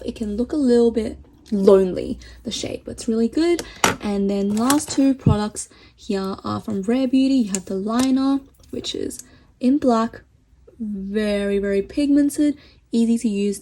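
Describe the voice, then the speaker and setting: A woman vlogger chatting casually through a makeup review, enthusiastic and full of fillers, her voice getting hoarse. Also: fairly high pitch, warm tone. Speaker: beauty vlogger.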